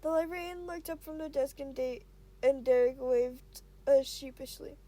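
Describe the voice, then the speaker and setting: Woman, high pitch, mellow tone, amateur story narration, halting delivery, self-correcting. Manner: halting, stumbles over words and self-corrects. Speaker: amateur fan fiction reader.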